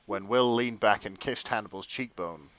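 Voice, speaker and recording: Low-pitched young man narrating. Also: normal speed, fairly bright tone, no room sound. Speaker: narrator of a fan-fiction reading. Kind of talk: comic dramatic reading.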